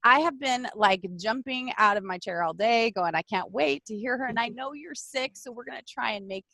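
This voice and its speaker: A woman's voice: a little high, normal speed, vocal fry, bright, forceful tone, no echo. A woman hosting a live-streamed podcast interview, bubbly and gushing, often laughing. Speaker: podcast host.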